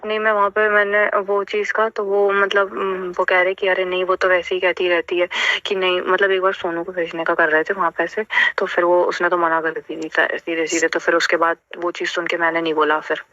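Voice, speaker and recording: A woman's voice: lowish voice, completely flat delivery, brisk, hardly any pauses, sharp tone, no echo. A young adult woman reasoning things out earnestly and a little hesitantly in a casual personal phone conversation. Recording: dull, lo-fi recorded phone call.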